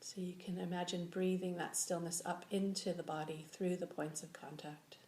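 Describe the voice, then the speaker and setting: A woman's voice: fairly low pitch, flat delivery. Woman guiding meditation in an echoey room. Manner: slow, gentle instruction with long pauses. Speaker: meditation teacher. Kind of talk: guided meditation. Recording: echoey room with faint background noise.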